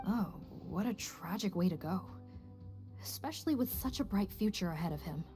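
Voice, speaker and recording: A woman's voice: medium pitch, lively intonation, moderate pace, dark tone, faint music behind, lightly acted. A woman playing a dry, theatrical officer in a video game, mock-mournful in delivery. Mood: mock sorrow. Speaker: video game character voice actor. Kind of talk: scripted game dialogue.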